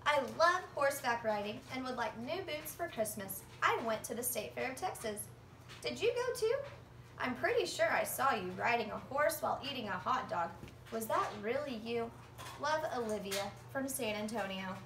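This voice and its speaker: An eager little kid, read by a young woman in a high, swooping voice, earnest and full of questions. It is a storybook read-aloud, recorded from a distance in a roomy space. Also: animated, normal speed, fairly bright tone. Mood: eager, curious. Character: eager, polite young children writing letters to Santa. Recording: roomy, distant phone recording with faint noise.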